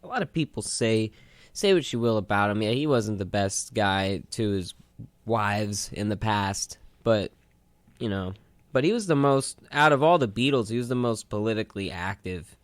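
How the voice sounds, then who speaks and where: A man with a fairly low, clean and smooth voice talks casually as a radio co-host. He rambles through his stories in a loose, conversational way, recorded close and dry. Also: lively intonation, moderate pace, dry recording. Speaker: co-host of a retrospective radio show. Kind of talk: casual chat.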